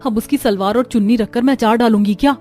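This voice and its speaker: Woman acting harried caring young mom in a cartoon family story, highish voice, music behind. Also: quick pace, darkish tone, close to an everyday voice. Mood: indignant. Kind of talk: animated family story dialogue. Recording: background music under the voice.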